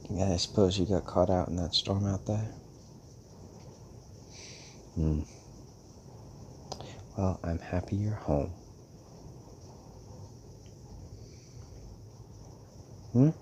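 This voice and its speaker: Slow, deep old male vampire played by a man. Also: darkish tone, a little hiss or hum. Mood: gently welcoming. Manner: hesitant, musing, speaks in pauses. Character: calm, fatherly old male vampire mentor. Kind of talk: intimate audio roleplay addressed to listener.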